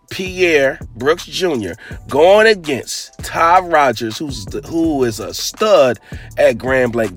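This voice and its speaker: Podcast host, male, raspy from a cold, slightly high voice, hyped casual slang, faint music underneath. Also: fairly bright tone. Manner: loose, hyped slang-heavy basketball talk. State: hoarse from a cold. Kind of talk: casual sports podcast talk.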